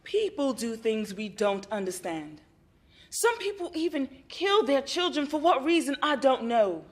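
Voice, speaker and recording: An actress playing a calm, encouraging female therapist who speaks in a measured, reassuring way in a stage play. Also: high voice.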